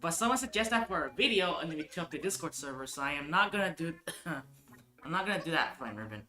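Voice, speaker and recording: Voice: medium pitch, expressive, a little fast, echoey room, faint music behind. A live streamer chatting casually while gaming, thinking aloud and restarting sentences often.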